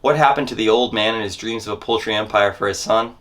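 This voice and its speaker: A man's voice: lowish voice, fast talker, fairly bright tone. Man performing a dramatic first-person monologue. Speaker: dramatic reader of a memoir.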